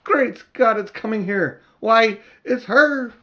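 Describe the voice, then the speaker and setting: Excitable storybook schoolboy, played by an older man: eager, exclamatory, voice raised high in startled bursts. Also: slow pace, heavily acted. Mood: startled alarm. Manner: exclamatory, rising in alarm.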